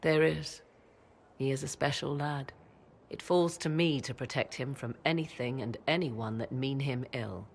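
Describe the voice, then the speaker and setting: An actress voicing a mysterious, secretive mage mother in a video game, speaking in a measured, formal, archaic way. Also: rumbling low, a little hiss or hum. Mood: grave. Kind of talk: video game cutscene dialogue.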